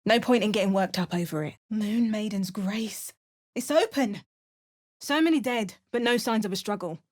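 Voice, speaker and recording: A woman's voice: medium pitch, moderate pace, lightly acted. Actress as a young woman adventurer for a fantasy game, dry wit, wry remarks. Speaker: player character adventurer. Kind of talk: game voice lines.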